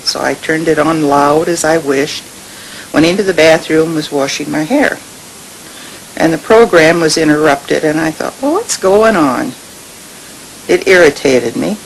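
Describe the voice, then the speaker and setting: A woman's voice: deep voice, a little slow, poor audio. Elderly woman reminiscing, faint hiss. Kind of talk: recounting memories, anecdotal. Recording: faintly hissy interview recording.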